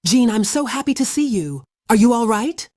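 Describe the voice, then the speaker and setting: A woman voicing a caring, earnest and gentle superheroine in a video game. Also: fairly high pitch, expressive, moderate pace, background noise, close to an everyday voice. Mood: worried sympathy. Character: caring, earnest female mutant heroine.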